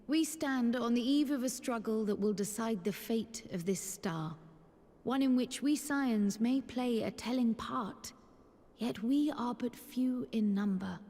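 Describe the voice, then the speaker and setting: High-voiced, earnest young noble scholar. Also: female voice, dark tone. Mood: earnest resolve. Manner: formal, eloquent, deliberate phrasing. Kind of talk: scripted fantasy game dialogue. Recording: long reverb, faint music.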